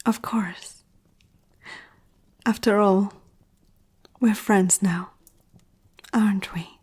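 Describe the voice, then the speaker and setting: Woman playing a breathy, whispering ancient vampire noblewoman. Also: medium pitch, steady tone, a little mellow, exaggerated voice. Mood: soft, sincere. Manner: poised, intimate, deliberate. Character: ancient powerful female vampire aristocrat, courteous and seductive. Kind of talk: ASMR roleplay, soft half-whispered acting.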